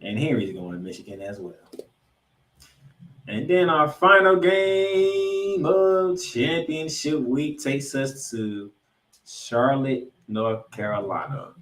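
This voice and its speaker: An American man in an echoey room hosts a sports show. He talks through football matchups in an emphatic, colloquial way, with a mid-range voice. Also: medium pitch. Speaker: sports podcast host. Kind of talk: animated football recap and preview. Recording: roomy, echoing sound.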